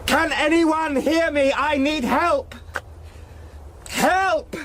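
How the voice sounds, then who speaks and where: An actor playing a frightened young gentleman, breathless and panicky, in a gothic radio drama. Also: very high voice, very slow, full character voice. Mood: panicked, desperate. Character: frightened young gentleman lost in marsh fog. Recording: loud atmospheric noise around the voice.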